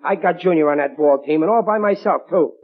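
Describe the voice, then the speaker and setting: Man acting a husky, high-strung, blustering family man. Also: a little fast, lightly acted. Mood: boastful. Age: middle-aged. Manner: excitable, stammering. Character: blustering, proud, hapless working-class family man. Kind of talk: 1940s radio comedy acting. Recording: dull vintage 1940s radio recording.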